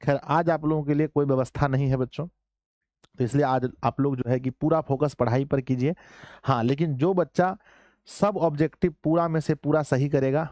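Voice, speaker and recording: A man teaching an online class in a casual, joking way, slightly hoarse, often calling his students children. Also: medium pitch, mellow, dry recording, clean studio sound. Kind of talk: casual live class lesson.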